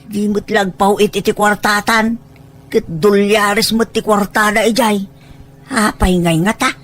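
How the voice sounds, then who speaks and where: A woman's voice: mid-range voice, fairly even intonation, measured pace, a bit bright, soft background music. A woman with a Filipino accent playing a boastful, money-minded older parent in an Ilocano audio drama, pushy and full of exclaimed questions. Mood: nagging.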